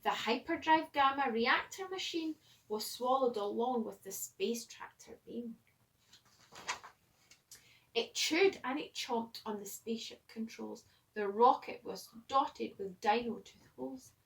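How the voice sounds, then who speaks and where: A woman reading a children's picture book aloud like a teacher, expressively, with dramatic pauses and emphasis. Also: a little high, vocal fry, distant mic, rough recording. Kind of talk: animated children's storybook read-aloud.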